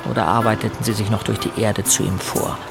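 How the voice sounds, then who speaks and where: A man's voice: on the low side, fairly even intonation, fairly quick, creaky. An actor playing a teenage boy who narrates grim events in the first person in a radio drama, sounding unsettled. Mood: brooding. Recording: loud music bed under the voice.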